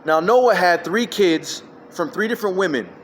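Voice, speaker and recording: A man with a high-pitched voice delivers a rambling solo rant into his phone. He leaps between topics with emphatic, scattered energy. A faint hum of noise sits behind him. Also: lively intonation, moderate pace. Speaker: vlogger.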